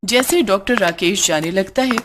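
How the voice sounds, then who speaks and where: Female storyteller, mid-pitched, scripted cartoon narration, faint noise behind. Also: moderate pace, fairly bright tone.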